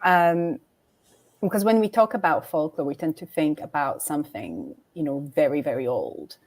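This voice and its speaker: Lower-voiced woman asking rambling questions. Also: expressive, normal speed, a little mellow. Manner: rambling, hesitant, many "like" fillers. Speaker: interviewer. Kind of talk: livestream conversation.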